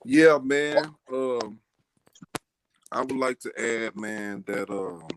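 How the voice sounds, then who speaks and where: A man, a guest, speaking in a soft, hesitant way with many fillers. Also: mid-range voice, slow. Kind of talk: casual chat. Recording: noisy call audio.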